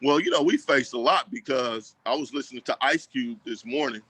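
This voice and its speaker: Casting: American man, community advocate, bright punchy voice, dry close sound, casual but emphatic interview talk. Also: medium pitch, fairly quick, husky, no room sound, poor audio. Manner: emphatic, colloquial, repeats phrases for emphasis. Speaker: school district equity staff member and community advocate.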